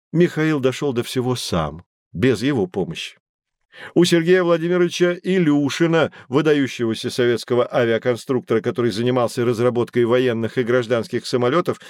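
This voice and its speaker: A man's voice: mid-range voice, darkish tone, dry recording, studio quality, lightly acted. A man playing a learned, patient guide who explains things to children in formal, didactic phrases in an educational audio drama. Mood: earnest, admiring.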